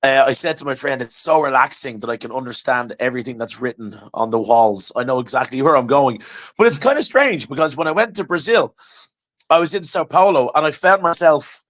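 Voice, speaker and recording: An Irish man chatting as a podcast guest, telling stories in an animated way and often restarting his words. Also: mid-range voice, fairly quick, low-quality recording. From Ireland. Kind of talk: casual chat.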